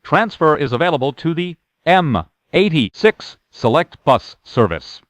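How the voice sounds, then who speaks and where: A man gives formal transfer announcements for a transit system. He speaks slowly and deliberately with a wide swing in pitch, in a dry recording. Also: very animated, no echo. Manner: formal, measured.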